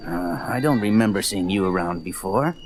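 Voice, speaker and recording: A man playing a gruff, suspicious loading dock supervisor in an audio drama, blunt and bossy. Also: low voice, lively intonation, normal speed.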